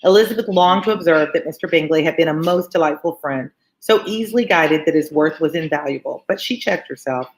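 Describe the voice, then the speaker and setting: A woman's voice: low voice, fairly quick, few pauses, poor audio. A woman tutoring on a live read-along of a classic novel, reading aloud and adding informal explanatory asides for listeners.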